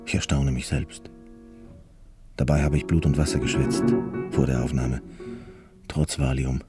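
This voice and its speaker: A man's voice: very deep voice, little pitch movement, measured pace, far from the mic, over loud music. An actor playing a neurotic, eccentric male concert pianist who muses confidingly in an introspective radio play monologue. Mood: anxious, musing. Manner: introspective, musing narration.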